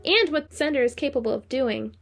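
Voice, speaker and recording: A woman acts as an earnest and supportive assistant in an audio drama. Her voice is fairly high, and she speaks precisely and matter-of-factly over faint music. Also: lively intonation, fairly quick, vocal fry.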